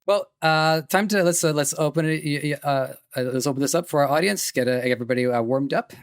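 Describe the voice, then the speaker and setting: A man hosting a podcast interview, chatty and self-deprecating, often halting with fillers. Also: a little high, no echo, clean studio sound. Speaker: podcast host. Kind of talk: casual interview chat.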